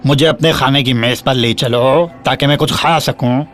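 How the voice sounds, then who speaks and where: Woman putting on a deep, croaky bossy frog. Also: moderate pace. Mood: demanding. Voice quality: harsh, croaky.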